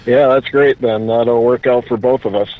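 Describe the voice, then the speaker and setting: A man's voice: medium pitch, steady tone, moderate pace. A man who is a guest on a radio show, calling in and greeting the host in a friendly, upbeat way. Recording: dry tinny phone line.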